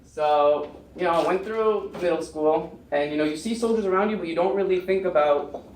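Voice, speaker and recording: A man's voice: fairly high pitch, normal speed. A young American man on a panel, telling his story casually with many fillers and restarts. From United States. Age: young adult. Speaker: panel speaker. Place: lecture room. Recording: echoey room, mic far off, faint noise.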